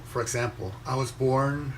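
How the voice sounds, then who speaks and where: A young man raised in Venezuela, reading a motivational post aloud and commenting on it in a slow, measured way with pauses between phrases. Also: mid-range voice, steady tone, slight background noise, poor audio. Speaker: inspirational speaker reading his own post.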